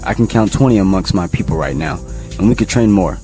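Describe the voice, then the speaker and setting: Male actor as post-collapse community leader: folksy, steady negotiator, on the low side, audio drama. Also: a little fast, faint music behind, lightly acted. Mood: measured.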